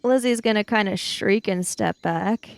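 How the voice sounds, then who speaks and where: A woman's voice: mid-range voice, a little slow, no room sound. A woman casually narrating her character's actions as a player in a tabletop role-playing game.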